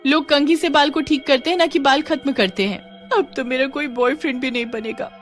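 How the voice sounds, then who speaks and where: A woman's voice: sits high, a little fast, faint music behind, close to an everyday voice. A woman voicing an indignant, distressed young village wife in a cartoon horror story, with dramatic, complaining delivery. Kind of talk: acted cartoon dialogue.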